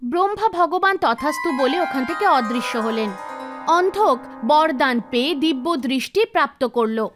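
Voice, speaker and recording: A woman reading scripted narration for an animated mythological story. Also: high-pitched, moderate pace. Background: music.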